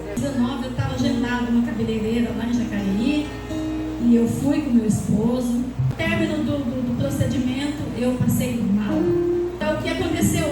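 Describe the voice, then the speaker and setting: A Brazilian woman giving an earnest, emotional testimony to a church congregation. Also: high-pitched. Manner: earnest, emotional storytelling with pauses. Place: church hall. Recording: very echoey church from far off, loud music. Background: loud music under the speech.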